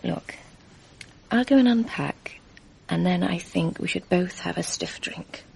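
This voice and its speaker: A woman's voice: lowish voice, unhurried, gravelly, darkish tone, slightly rough, exaggerated voice, poor audio. An actress playing a composed, brittle English wife in a radio drama, politely evasive and understated in her speech. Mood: quietly brittle. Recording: 1980s radio drama, faint background noise.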